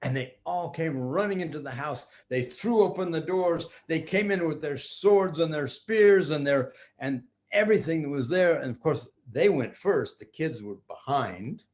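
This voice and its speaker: An older man improvising a children's story like a grandpa, theatrical, with pauses and restarts. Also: on the high side, expressive, a little mellow.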